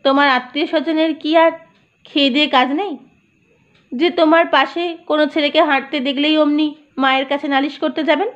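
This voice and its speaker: A woman's voice: high-pitched, steady tone, moderate pace, a bit bright, dull audio. A woman reading the lines of a joking young man in love in an audiobook story. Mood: teasing. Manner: joking, coaxing.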